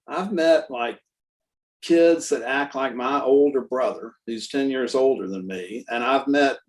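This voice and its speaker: A man in his sixties, a guest artist and musician, telling stories in a relaxed, rambling way with lots of fillers. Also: mid-range voice. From Texas. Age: 60s. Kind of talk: casual conversational interview.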